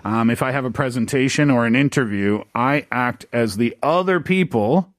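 A man's voice: mid-range voice, normal speed, dry recording. A man hosting a radio talk show, chatting playfully and reading out listener texts. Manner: chatty, playful, jokey asides.

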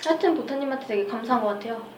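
A woman's voice: fairly high pitch, very fast, a little mellow. A young woman hosting a podcast, chatting casually with her guests and reading out listener comments. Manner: chatty, laughs often, reads listener comments. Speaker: podcast host. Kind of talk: casual group chat. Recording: roomy, distant mic, noisy.

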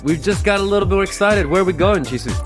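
A middle-aged man hosting a radio show in a studio, relaxed and chatty with many fillers. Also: high voice, fast. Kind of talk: casual radio chat. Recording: dry studio sound, faint music behind.

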